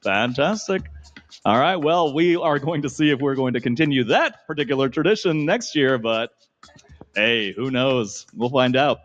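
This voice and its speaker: A man's voice: mid-range voice, animated, moderate pace, fairly bright tone, lo-fi sound. A man co-hosting a live pageant on an arena stage, upbeat and joking with his co-hosts. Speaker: pageant co-host.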